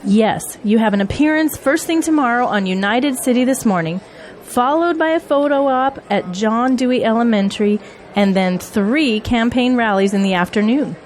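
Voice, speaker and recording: A woman's voice: on the high side, animated, normal speed, a little mellow, lightly acted. A woman acting in an audio drama as a busy, earnest political campaign manager and single mother. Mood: businesslike, driven.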